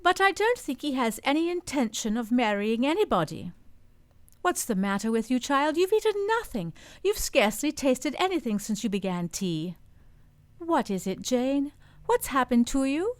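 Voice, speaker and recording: A woman's voice: high voice, big pitch swings, moderate pace. Woman acting lilting, motherly older housekeeper. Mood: concerned. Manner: motherly, genteel. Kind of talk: radio play acting.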